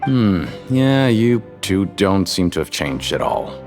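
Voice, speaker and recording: Nosy convict with animated delivery. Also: male voice, low-pitched, slow pace, faint music behind, exaggerated voice. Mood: wary. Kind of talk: video game character acting.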